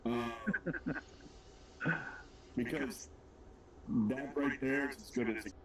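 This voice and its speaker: Laid-back man with a deep voice. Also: fairly even intonation, takes it slowly, distant mic, a crowd in the background. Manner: laid-back, rambling, self-deprecating. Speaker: livestream co-host. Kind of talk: casual chat while building a model kit.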